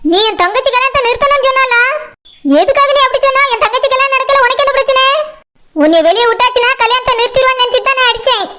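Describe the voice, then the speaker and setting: A woman voicing a stubborn, snappy village woman in a cartoon comedy, with a Kanyakumari Tamil accent and a pitched-up voice. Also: very fast, sharp tone, a little hiss or hum. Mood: angry, defiant. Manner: snappy, dismissive, argumentative. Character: brusque, stubborn village woman, fiercely protective older sister. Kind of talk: animated village comedy dialogue.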